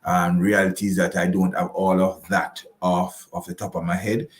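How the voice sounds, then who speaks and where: Low-voiced Jamaican man in an echoey room. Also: little pitch movement, moderate pace. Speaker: financial advisor, interview guest. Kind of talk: explanatory interview answers. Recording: roomy, echoing voice pickup.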